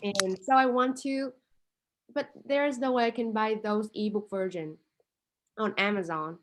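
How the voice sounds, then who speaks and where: A woman speaks English as her at a middling pitch. She talks slowly and hesitantly, thinking aloud and filling her pauses with "um" and "like". Her voice comes through a video call. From Vietnam. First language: Vietnamese. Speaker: international student with a startup idea. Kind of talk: casual conversation.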